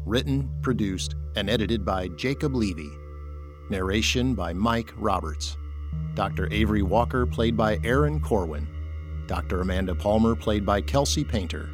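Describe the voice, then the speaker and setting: Low-voiced male drama narrator. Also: animated, moderate pace, music in the background.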